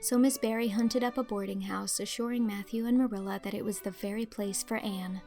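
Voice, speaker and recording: A woman reading a literary story as an audiobook narrator, with expressive, clearly phrased storytelling. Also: medium pitch, fairly quick, faint music behind.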